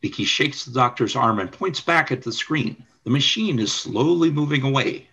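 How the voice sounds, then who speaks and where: A man's voice: medium pitch, lively intonation, normal speed, creaky, pushed and bright, husky. A man reading scripted scene narration for a radio play. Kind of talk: scripted narration of stage directions.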